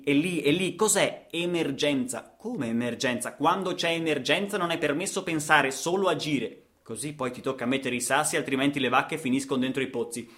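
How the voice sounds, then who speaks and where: An actor playing an impassioned male traveller in a radio theatre monologue, telling his journey theatrically with insistent repetition. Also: fairly high pitch. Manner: theatrical, insistent repetitions, swings from hushed to shouting. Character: impassioned male traveller recounting a trip across the savanna. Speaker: actor, storyteller.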